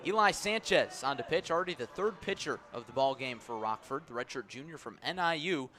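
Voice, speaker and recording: A man's voice: lively intonation, bright, forceful tone, slight background noise. A man calling baseball play-by-play for radio in a live sports commentary style. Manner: measured between pitches, raised and urgent on plays. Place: stadium press area on second deck.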